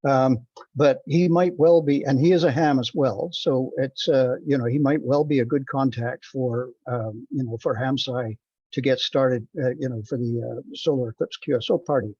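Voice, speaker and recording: A man's voice: mid-range voice, fairly even intonation, normal speed, a little mellow, no room sound. A man giving a hesitant, rambling report on a conference call. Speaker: meeting participant giving a report. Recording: dull, dry teleconference audio.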